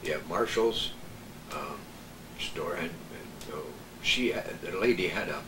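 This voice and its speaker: An older man, a veteran radio broadcaster, reminiscing casually and telling anecdotes in a halting, hesitant way. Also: low-pitched, bright, forceful tone. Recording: roomy, distant mic, noisy background.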